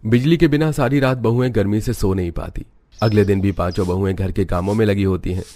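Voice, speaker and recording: A man's voice: fairly low pitch, brisk, mellow. A man narrating a scripted cartoon family story.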